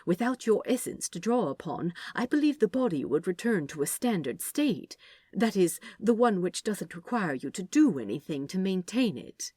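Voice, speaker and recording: An earnest, fussy male angel from a storybook reading, played by a woman, speaks in a raised, swooping voice. He explains things haltingly and anxiously, in a dry, close recording. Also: mid-range voice, sing-song, dark tone, slightly rough, no echo. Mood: earnest, explaining.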